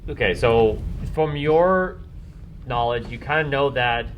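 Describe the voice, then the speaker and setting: A man narrating a tabletop role-playing game as game master in a halting, informal, conversational way. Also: mid-range voice, animated, highs cut off, a little hiss or hum.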